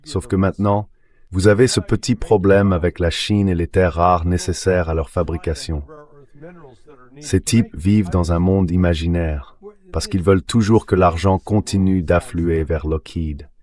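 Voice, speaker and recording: Male guest, political commentary, deep low pitch, synthetic translated voice-over. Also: fairly even intonation, a little slow, a little mellow.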